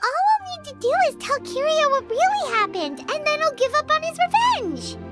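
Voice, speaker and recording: A woman's voice: very high-pitched, big pitch swings, normal speed, music in the background. A woman voicing a small, childlike companion who asks curious questions.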